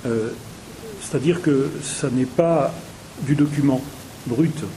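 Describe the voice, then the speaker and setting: A man, a playwright, explaining his writing with frequent fillers and pauses. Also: mid-range voice, mellow, slight background noise, poor audio. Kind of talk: discussion of theatre work.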